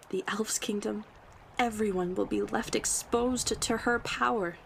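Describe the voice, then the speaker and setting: A woman's voice: mid-range voice, slight background noise, lightly acted. A woman voicing a proud, solemn talking rabbit of the forest, speaking earnestly and formally in a fantasy roleplay monologue. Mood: pleading. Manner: earnest, formal storytelling in measured phrases.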